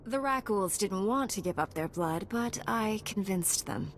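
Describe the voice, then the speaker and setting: Actress as a low, composed Jedi woman. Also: expressive, slight background noise, close to an everyday voice. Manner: composed, measured. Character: calm, noble, self-assured female Jedi warrior. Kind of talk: video game voice acting.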